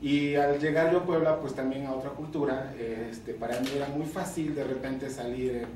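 A man from the port of Veracruz, an activist, speaks at a panel in a measured way, often pausing on fillers. His voice has a middle pitch. It echoes strongly across a conference room, with the mic far away. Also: fairly even intonation, a little mellow, slight background noise. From port of Veracruz, Mexico. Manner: hesitant, many fillers, measured. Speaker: activist, founder of a group. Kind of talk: panel talk. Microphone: table microphone, recorded by far camera.

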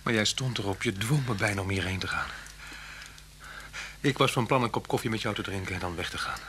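An actor playing an unhappy, soft-spoken husband on the run in a Dutch radio play, speaking haltingly and often dropping to a near-whisper. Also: male voice, fairly low pitch, animated, lightly acted. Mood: resigned, apologetic. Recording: hum and hiss of old radio recording.

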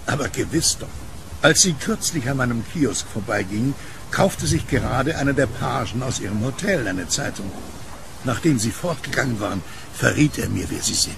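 An actor playing a gentle, easygoing blind newspaper seller and war veteran in an audio drama, speaking in a friendly, matter-of-fact way. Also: male voice, mid-range voice, animated, moderate pace, gravelly, background noise. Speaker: kiosk newspaper vendor.

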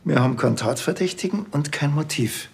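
Dry, gravelly Bavarian police detective. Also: male voice, on the high side, brisk. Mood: businesslike, dry. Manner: dry, deadpan. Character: laconic, sardonic male Bavarian police detective. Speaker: actor in a police series.

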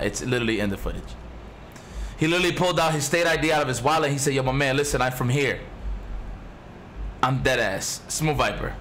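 Raspy-voiced guy streaming old match footage, hyped and swearing, low faint hiss behind him. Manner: excited, swears a lot, repeats himself. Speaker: stream host.

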